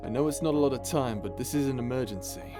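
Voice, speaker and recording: A man's voice: mid-range voice, animated, fast talker, natural voice. Guy doing a space pirate captain, caring and wry, teasing but reassuring, music playing behind him. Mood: brisk, worried. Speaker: voice actor in audio roleplay. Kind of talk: ASMR audio drama roleplay. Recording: music under the voice.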